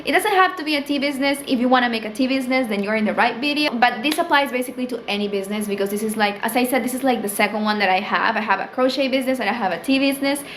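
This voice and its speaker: A woman's voice: fairly high pitch, racing, hardly any pauses, a bit bright, a little hiss or hum. A young woman from Peru with a Peruvian Spanish accent, Spanish her first language, chatting casually to camera about her small business and filling her talk with "like" and "um". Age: young adult. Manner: chatty, fills with "like" and "um", rises for quoted thoughts. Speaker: small business owner vlogger. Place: small indoor room with tea stock shelf.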